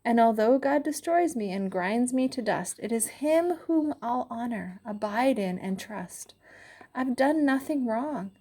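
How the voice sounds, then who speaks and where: A woman's voice: on the high side, animated, unhurried, warm tone, pitched above the performer's own voice. A woman voicing a suffering righteous man who pleads with God in anguished rhyme. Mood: bitter, pleading. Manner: anguished, rhyming lament.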